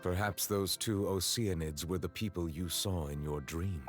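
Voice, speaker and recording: A man voicing a reserved chief judge in a video game, speaking in a formal, measured and socially stiff way. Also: sits low, faint music behind, lightly acted. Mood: calm, grave.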